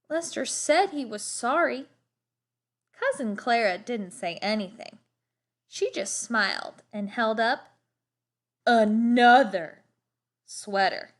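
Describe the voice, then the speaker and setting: A woman reading a children's storybook aloud expressively, with deliberate, dramatic emphasis on words. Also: a little high, a bit bright.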